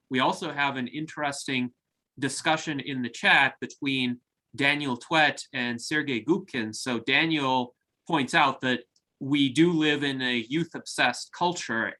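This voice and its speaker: Bright-voiced man moderating an online salon. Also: mid-range voice, rough recording. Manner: measured, formal, reads out audience comments. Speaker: host. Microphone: video call.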